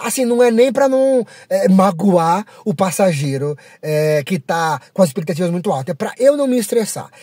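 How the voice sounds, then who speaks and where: Young São driver, casual vlog rant, animated and a bit husky. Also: male voice, high-pitched, dry recording, clean studio sound. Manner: rambling, frank, self-deprecating, with many fillers. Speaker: app driver.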